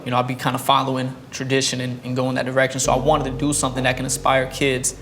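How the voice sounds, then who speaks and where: A man's voice: medium pitch, a little hiss or hum. A man in his twenties, a barber hosting the show, chatting casually while cutting a guest's hair. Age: 20s. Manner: fast, upbeat, hype opener then relaxed friendly banter. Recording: music bed behind the voice. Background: music.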